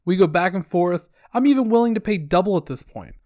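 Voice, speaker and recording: A man's voice: high voice, animated, brisk, a little mellow, no room sound, studio quality. A man reading online posts aloud, adding wry, sarcastic comments between them.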